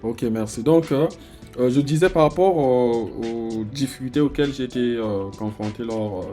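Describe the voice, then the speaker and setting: A man being interviewed explains his work in a calm, measured way. His voice is mellow and warm, at a middle pitch. Faint music sits quietly behind the talk. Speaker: software engineer, interview guest. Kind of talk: casual interview chat.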